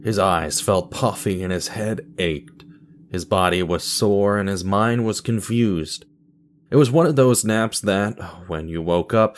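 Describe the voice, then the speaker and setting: A man reading scripted material as a radio theatre narrator and announcer, with guitar music behind him. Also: fairly low pitch. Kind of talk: scripted dramatic story narration.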